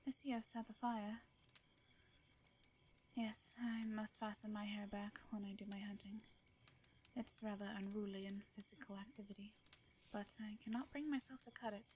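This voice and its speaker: A woman playing a shy, formal vampire in a roleplay audio drama, with an English accent, a soft breathy voice and hesitant, flustered phrasing. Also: medium pitch, steady tone, moderate pace, a little hiss or hum, close to an everyday voice. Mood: polite, reserved.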